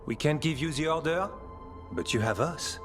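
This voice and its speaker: Fantasy game scholar-warden: male, earnest and hesitant, very lively pitch, music behind. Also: moderate pace. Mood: earnest, uneasy. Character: earnest, uneasy male warden scholar, sensitive to dark forces. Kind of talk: dramatic fantasy game acting.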